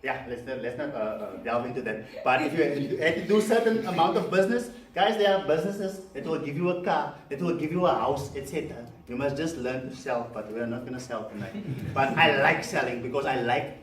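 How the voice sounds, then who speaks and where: A man's voice: mid-range voice, brisk, few pauses. A man with a South African accent, Afrikaans his first language, giving a live seminar to a room, chatty with many fillers and questions to the audience. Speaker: presenter. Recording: echoey room, mic far off, faint background noise.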